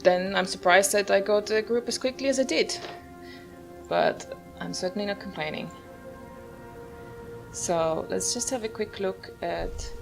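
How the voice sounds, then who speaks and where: A woman's voice: mid-range voice, vocal fry. A woman hosting a gaming video, giving casual, musing commentary as she plays. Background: game music.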